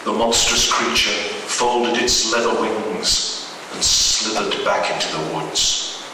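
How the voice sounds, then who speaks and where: Slow, echoing male horror story reader. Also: lowish voice, fairly even intonation. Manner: slow, dramatic delivery. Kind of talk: scripted horror story narration at a live reading. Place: live event in a reverberant room, distant mic. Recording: echoey, noisy live hall recording from far off.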